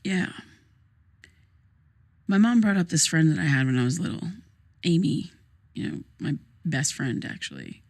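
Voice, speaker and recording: An actress playing a troubled, grieving young woman in a naturalistic audio drama, speaking in a hesitant, halting, casual way. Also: deep voice, expressive, darkish tone, heavily acted. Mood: searching, uneasy. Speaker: therapy client in audio drama.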